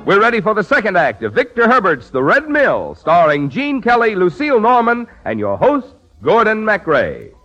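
A man's voice: high voice, huge pitch swings, moderate pace, hardly any pauses, pushed and bright, faint music behind, low-quality recording. A man announcing a show in 1940s radio style.

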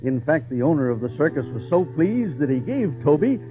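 A man's voice: on the high side, sing-song, brisk. A male actor playing a storyteller who narrates an adventure tale in old radio drama style. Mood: narrating. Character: male storyteller recounting circus adventure to a boy. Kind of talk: dramatic narration, 1940s-50s radio acting. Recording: tinny old radio recording with music.

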